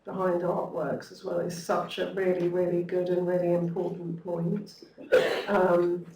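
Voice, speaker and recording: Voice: medium pitch, monotone, a little slow. A panel speaker responding eagerly and thoughtfully, with some fillers. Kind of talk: panel discussion. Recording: roomy far-off mic with faint room noise.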